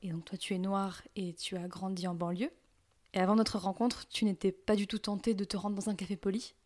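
A woman's voice: mid-range voice, little pitch movement, fairly quick, darkish tone. A woman interviewing someone, asking short, direct questions.

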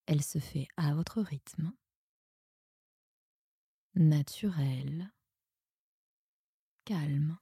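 A woman guiding a sleep meditation in a soothing, hushed, breathy manner that often nears a whisper. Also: low-pitched, very slow, long pauses, no room sound, clean studio sound. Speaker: yoga nidra guide and podcast host.

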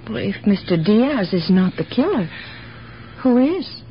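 An actress playing a gentle, sorrowful older aunt in a radio drama, halting and plaintive as she earnestly justifies herself. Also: medium pitch, big pitch swings. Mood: uneasy, soft. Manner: slow, halting, plaintive delivery. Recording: muffled, hissy old radio broadcast.

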